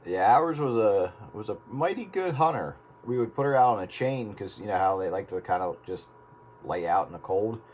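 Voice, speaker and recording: A man with a fairly low voice talks casually over the radio, telling things in an everyday way. There is faint noise behind him. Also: expressive, normal speed, darkish tone.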